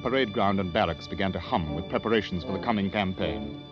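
An actor playing a war correspondent who narrates an army adventure in an old radio drama. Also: male voice, low voice, fast talker, close to an everyday voice. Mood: grave storytelling. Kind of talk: scripted 1940s-50s radio drama narration. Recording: dull old radio recording. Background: music.

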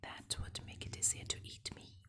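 A woman's voice: normal speed, close to an everyday voice. A woman whispering the part of a sly, clever frog in an animal fable. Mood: sly polite.

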